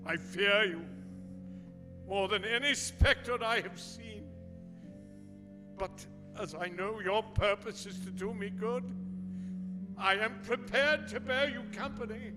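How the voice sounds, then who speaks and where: A man acts out a fearful old miser in a radio play, pleading solemnly in a high voice. The words come very slowly. Music plays behind him in a reverberant hall.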